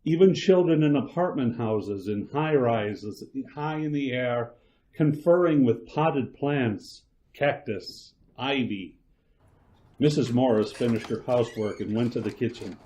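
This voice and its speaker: A man narrating a radio drama in a slow, measured way. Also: mid-range voice, dark tone. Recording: muffled lo-fi recording.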